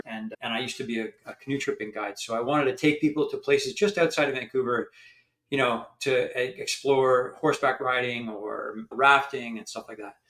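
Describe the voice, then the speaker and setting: A man's voice: mid-range voice, fairly bright tone, rough recording. Older Canadian man telling stories. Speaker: founder and guest. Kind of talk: storytelling in an interview.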